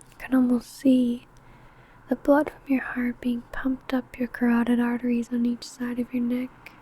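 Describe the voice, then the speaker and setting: Woman acting a slow, breathy, seductive vampire woman. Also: high voice, warm tone, slight background noise, rough recording. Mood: predatory, teasing. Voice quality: breathy, often whispered. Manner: slow, teasing, menacing, deliberate. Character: seductive, possessive female vampire coworker stalking prey. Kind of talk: ASMR roleplay, intimate monologue to listener.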